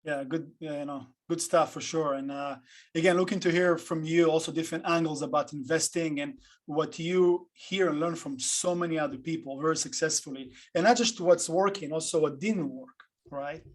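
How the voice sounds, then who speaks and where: A man casually hosting an online panel on a video call, talking off the cuff in an informal, halting way. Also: on the high side, fairly even intonation. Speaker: host.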